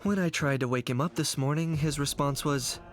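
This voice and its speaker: A man's voice: on the high side, moderate pace, faint music behind, close to an everyday voice. An actor playing a dry, unbothered young man in a video game, matter-of-fact in his delivery.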